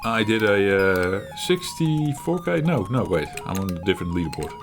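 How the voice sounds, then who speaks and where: A middle-aged man, his low voice rising and falling a lot as he plays an old game and talks it through. He pauses to search for his words and laughs at himself, while soft music plays faintly underneath. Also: sing-song. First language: Dutch. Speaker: retro gaming channel host. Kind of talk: reflective gameplay commentary.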